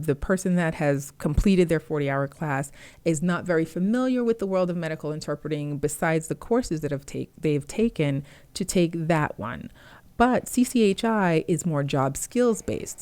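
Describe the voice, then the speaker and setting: A woman, a native Haitian Creole speaker, explaining medical interpreter certification step by step in a relaxed teaching talk with some fillers. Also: sits low, dry recording. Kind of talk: informal instructional talk.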